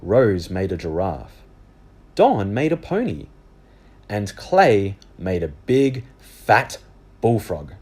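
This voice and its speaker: A man reading a children's book aloud as animated storybook narration. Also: lowish voice, takes it slowly, breathy edge.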